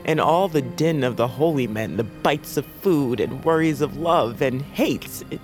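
A man's voice: mid-range voice, a little slow, close to an everyday voice. Embittered, brooding wanderer played by a man, scored with music. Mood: bleak, brooding. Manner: brooding, terse, archaic poetic phrasing. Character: embittered, world-weary wanderer, orphaned as a child, fatalistic. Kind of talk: audio fiction drama acting. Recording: dramatic music underneath.

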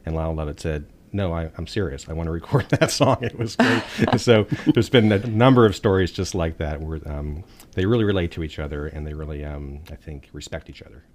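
A man with a very deep voice tells stories on a podcast panel. He advocates for musicians and recalls meetings with lawmakers in an anecdotal way. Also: expressive, fairly quick. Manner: anecdotal, warm storytelling with laughs.

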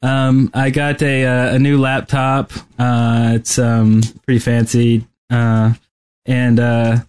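A man co-hosting a podcast in casual chat, rambling and halting as he talks. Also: medium pitch, flat delivery, a little mellow, clean tone. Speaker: podcast co-host. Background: music.

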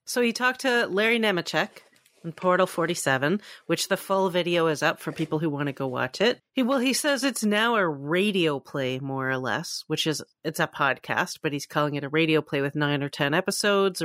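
A woman's voice: fairly low pitch, a bit bright, no echo, clean studio sound. A woman co-hosting a podcast, discussing entertainment news in a casual, matter-of-fact, conversational way. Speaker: podcast co-host.

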